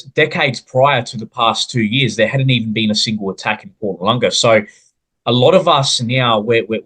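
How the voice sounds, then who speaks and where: A man's voice: medium pitch, bright, forceful tone, husky, low-quality recording. A man with an Australian accent hosting a podcast interview, speaking in an earnest, wordy way. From South Australia. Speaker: podcast host.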